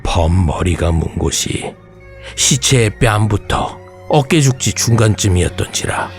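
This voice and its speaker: Male horror storyteller, low voice, slow suspenseful scripted read with hushed drops, music underneath. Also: expressive. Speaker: horror radio narrator. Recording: music bed behind voice. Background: background music.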